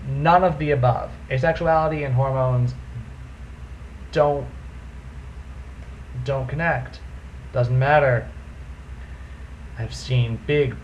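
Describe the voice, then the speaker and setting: A young man with a deep voice hosting a casual vlog, talking animatedly and swinging his pitch up and down for emphasis. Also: darkish tone, lo-fi sound, a little hiss or hum. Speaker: vlogger host.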